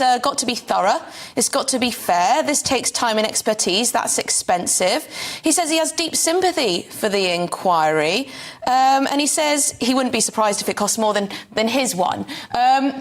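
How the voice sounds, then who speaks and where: British female news presenter, live interview. Slightly high pitch, conversational questioning.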